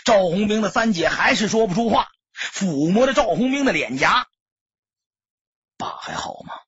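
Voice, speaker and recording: A man's voice: high-pitched, animated, moderate pace, a bit bright, slightly rough, no echo, muffled recording. A man narrating an audiobook as scripted dramatic storytelling, solemn and emotive.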